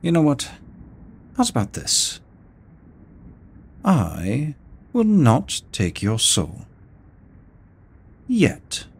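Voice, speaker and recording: A man's voice: mid-range voice, huge pitch swings, gravelly, slight background noise, heavily acted. A man voicing a seductive, courteous immortal incubus demon in a roleplay audio drama, speaking to the listener in a silky, theatrical way. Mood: playfully exasperated. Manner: slow, silky, theatrical, courteous with drawn-out words. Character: seductive, teasing male incubus demon, immortal deal-maker.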